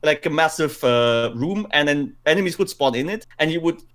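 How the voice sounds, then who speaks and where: A man's voice: fairly high pitch, lively intonation, moderate pace, a bit bright. A man co-hosting a podcast, chatting casually and repeating words for emphasis as he tells things. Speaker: podcast co-host. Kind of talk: casual podcast chat about games and films.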